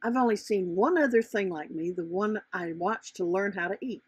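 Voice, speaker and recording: A woman's voice: medium pitch, moderate pace, slightly rough, no room sound, natural voice. A woman voicing a curious, innocent young female butterfly who thinks aloud in a children's storybook. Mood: wondering. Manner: wondering, thinking aloud to herself. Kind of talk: children's storybook character reading.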